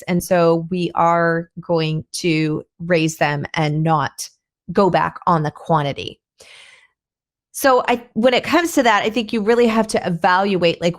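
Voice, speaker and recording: A middle-aged woman with a hoarse voice hosting her own podcast, chatting in a rambling, thinking-aloud way with frequent restarts. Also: mid-range voice. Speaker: podcast host. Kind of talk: casual solo podcast talk.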